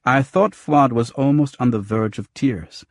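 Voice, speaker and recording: A man's voice: mid-range voice, measured pace, no room sound, studio quality. A man narrating scripted first-person noir fiction as an audiobook.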